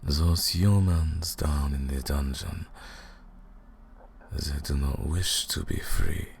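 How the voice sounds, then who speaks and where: A man's voice: very deep voice, creaky, slight background noise, full character voice. A man playing an ancient vampire lord, cold, mocking and condescending, in a theatrical, menacing roleplay monologue. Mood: cold condescension. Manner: slow, menacing, taunting, theatrical. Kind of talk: scripted ASMR roleplay monologue.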